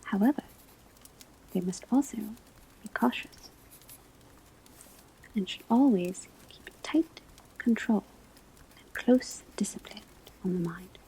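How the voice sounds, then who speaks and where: A woman playing a calm, scholarly professor at a witch school, whispering a lecture in a measured, deliberate ASMR roleplay. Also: mid-range voice, slight background noise, poor audio. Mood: calm, instructive. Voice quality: breathy, soft whisper. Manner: measured, deliberate phrasing with frequent pauses. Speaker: ASMR performer playing a teacher.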